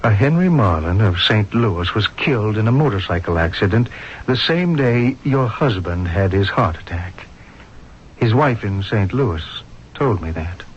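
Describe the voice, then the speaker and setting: A man's voice: fairly low pitch, animated, a little slow, a little hiss or hum. An actor playing a grave, authoritative male hospital doctor in a 1970s radio drama, speaking emphatically. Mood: grave, confiding. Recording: muffled vintage radio broadcast.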